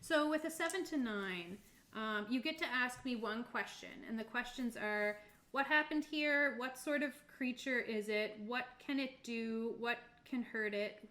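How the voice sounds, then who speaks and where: A woman running a tabletop game as game master, describing scenes informally and haltingly, piece by piece. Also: on the high side, sharp tone. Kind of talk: improvised tabletop game narration. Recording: roomy, echoing sound.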